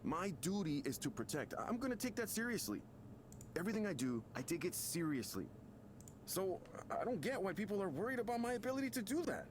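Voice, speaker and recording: A man's voice: sits high, big pitch swings, a little fast, noisy background. A man playing a defensive, self-absorbed, short-tempered expectant father in a video game, stammering indignantly as he confides. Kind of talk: video game voice acting, confessional monologue. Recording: music behind the voice.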